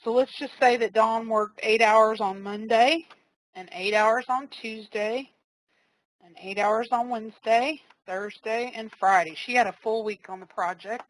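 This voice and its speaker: A woman trainer giving a casual, step-by-step live software demonstration, explaining each click as she goes. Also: fairly high pitch, fairly even intonation, a little slow, poor audio. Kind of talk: live software demo walkthrough.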